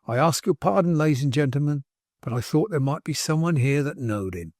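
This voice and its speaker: A man voicing an excitable little barber who talks fast and high, half laughing and half crying. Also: no echo, clean studio sound, lightly acted. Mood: excited.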